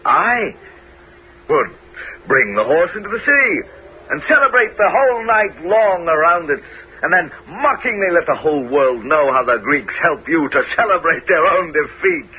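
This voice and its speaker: An actor playing a crafty Greek hero in a 1970s radio drama, speaking in a smooth, persuasive and scheming way. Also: male voice, on the high side, sing-song, moderate pace, a little hiss or hum. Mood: flattering. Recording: dull radio broadcast with music.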